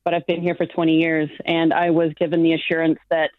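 A woman's voice: sits low, quick pace, no echo. Woman on a tinny phone line. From not New Zealand. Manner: animated, laughs often, self-assured. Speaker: guest, show judge. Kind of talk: remote interview. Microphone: phone or video call line.

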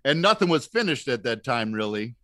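A man with a bright, forceful voice tells stories in a casual, comic way. He laughs readily as he talks, and the sound is dry and close. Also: mid-range voice, big pitch swings, fairly quick, no echo. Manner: laughs often while talking, acts out shouted quotes. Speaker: comedian guest on a podcast, works in elevators.

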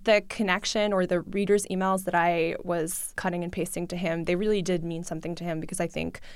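Gravelly, chatty woman. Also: lowish voice, little pitch movement, fairly quick, no echo. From New York City. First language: Russian. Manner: chatty, many fillers like "like" and "I think". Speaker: journalist guest. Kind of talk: casual interview talk.

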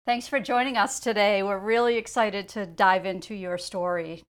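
A woman's voice: mid-range voice, steady tone, moderate pace, clear, resonant tone. A woman interviewing a guest, asking friendly, inviting questions.

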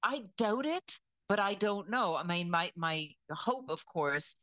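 A woman giving conversational news commentary in a measured, deliberate way, weighing her words as she goes. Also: medium pitch, expressive, a bit bright, no room sound.

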